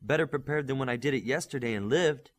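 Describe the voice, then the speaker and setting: A man's voice: medium pitch, fast talker, natural voice. A man voicing a defiant, determined young superhero team leader in an audiobook, speaking firmly and without flinching. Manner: firm, unflinching.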